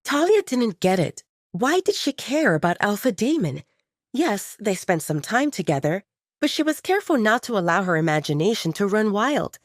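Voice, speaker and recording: A woman reading a scripted story as an audiobook narrator, with an expressive, dramatic storytelling delivery. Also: medium pitch, no echo, studio quality.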